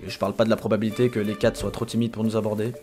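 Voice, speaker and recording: A man playing an aloof, calculating young potion student in an amateur fantasy audio drama, with a terse, matter-of-fact, deadpan delivery. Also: lowish voice, rapid-fire, soft background music, natural voice. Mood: wary, calculating.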